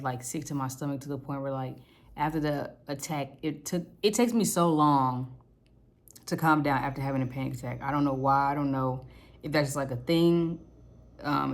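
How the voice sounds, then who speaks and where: A young woman speaks into her own vlog in a low, naturally deep voice. She rambles candidly through her thoughts and drops curse words without thinking about it. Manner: candid, rambling, casual cursing. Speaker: vlogger. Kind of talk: personal reflective vlog.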